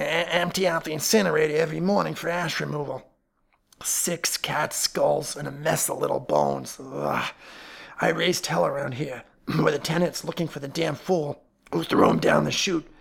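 Picture a man performing a thick-set, irritable building superintendent from a detective story. He growls bluntly in a gravelly voice and grumbles in rough slang. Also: on the high side, normal speed, heavily acted. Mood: indignant.

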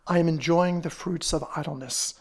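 Woman as a wry, low-voiced gentleman sleuth. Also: normal speed. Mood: evasive. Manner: wry, mannered, pompous phrasing. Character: urbane, whimsical, idle gentleman detective, wry and absent-minded. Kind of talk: dramatized storybook reading.

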